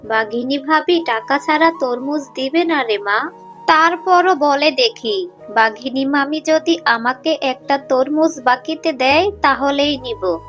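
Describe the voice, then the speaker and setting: A woman voicing a poor, weary and gentle mother fox who pleads sorrowfully in a cartoon, over music. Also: high-pitched, slow. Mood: pleading. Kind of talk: children's cartoon story dialogue. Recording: music behind the voice.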